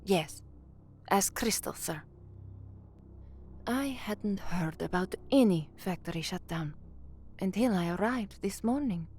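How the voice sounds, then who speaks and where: An actress plays a young working woman from a dystopian slum in an audio drama. She speaks earnestly and frankly at a middling pitch, with a wry, anxious edge. Some background noise sits under the voice. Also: lively intonation, slow, long pauses, a little mellow. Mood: guarded. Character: anxious young working-class woman, trainee radio newsreader in a hive slum. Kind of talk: audio drama acting with first-person narration.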